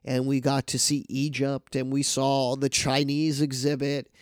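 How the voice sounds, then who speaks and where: A man's voice: medium pitch, completely flat delivery, a little slow, smooth, no room sound. Man, nasal and stuffy from a cold, podcast host, rambling with restarts, dry close sound. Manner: rambling, with many restarts and fillers. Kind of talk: casual news talk.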